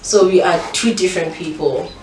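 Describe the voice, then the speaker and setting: A woman's voice: fairly low pitch, normal speed, recorded from a distance. A young adult woman with a South African accent, chatting casually as a guest in an interview. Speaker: interview guest, pageant finalist. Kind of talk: casual chat. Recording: roomy echo, off-mic, faint noise.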